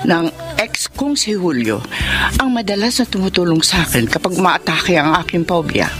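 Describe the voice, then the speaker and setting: A woman's voice: on the low side, big pitch swings, moderate pace, over music. Melodramatic, swooping Filipino career woman. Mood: reflective. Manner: theatrical, switches between Tagalog and English. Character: stern career-woman boss, claustrophobic, panicky, confiding. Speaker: radio drama actress. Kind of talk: melodramatic Filipino radio drama acting.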